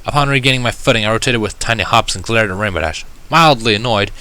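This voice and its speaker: A man's voice: lowish voice, fast talker, pushed and bright, clear, resonant tone. A man reading a first-person story aloud in a wry, conversational way. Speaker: audiobook reader of fan fiction.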